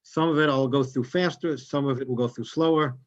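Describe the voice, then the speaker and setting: A man from New York with a New York accent, giving a quick, chatty guest lecture over a video call. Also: mid-range voice, steady tone. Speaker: guest speaker, tour guide. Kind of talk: Zoom lecture. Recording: dull lo-fi Zoom audio.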